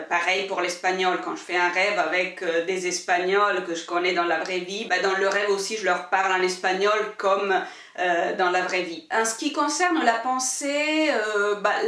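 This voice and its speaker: A woman's voice: mid-range voice, fairly bright tone, reverberant, distant mic. A woman whose first language is Italian, speaking French as she answers viewer questions on her book channel, talking thoughtfully with frequent hesitations and repeated words. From Italy.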